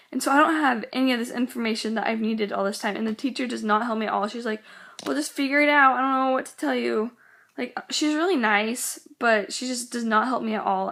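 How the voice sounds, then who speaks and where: A woman's voice: a little high, vocal fry, a bit bright. A teenage girl chatting casually in a vlog, rambling with lots of "like" while getting over a cold that makes her voice go in and out. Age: teens. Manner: rambling, many "like"s, quotes others. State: sick, voice going in and out. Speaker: vlogger. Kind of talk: casual chat.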